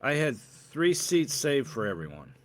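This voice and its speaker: A man's voice: medium pitch, sing-song, unhurried, a little hiss or hum. Male reader as storybook wife: church singer, brusque, curt, easily annoyed. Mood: impatient.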